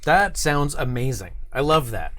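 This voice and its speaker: A man's voice: medium pitch, very animated, measured pace, a bit bright. A man hosting a casual web show in a studio, talking in an informal, encouraging way. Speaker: show host.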